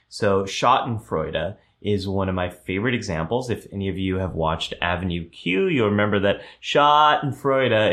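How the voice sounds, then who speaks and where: A man hosting a live show who explains ideas at length, reads out viewer comments, and often pauses with ums and restarts. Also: low voice, a bit bright.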